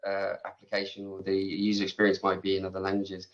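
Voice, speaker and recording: A man speaking as a guest panelist in a webinar discussion over a video call, measured and conversational. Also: low voice, completely flat delivery, dull audio. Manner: measured, conversational, leans on "you know".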